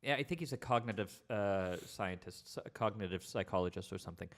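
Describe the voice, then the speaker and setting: A young man co-hosting a podcast, chatting casually with many pauses and filler words. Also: on the low side. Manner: hesitant, full of filler words, laid-back. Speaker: podcast co-host. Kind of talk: casual chat.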